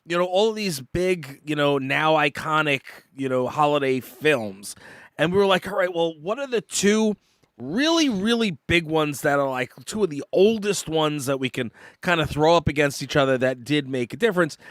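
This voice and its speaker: A man co-hosting a live podcast, talking casually and haltingly, repeating words while searching for names. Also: on the high side, animated, a bit bright, no echo. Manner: halting, repeats words, asks himself what to call things. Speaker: podcast co-host. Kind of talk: casual debate chat.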